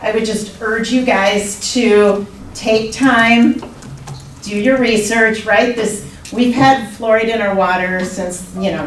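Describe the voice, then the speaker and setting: An older woman from the Midwest, speaking at a public comment session, earnest and urging in a measured way. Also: medium pitch. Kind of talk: public comment at a council meeting. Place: council meeting room. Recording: echoey room, distant mic, faint noise.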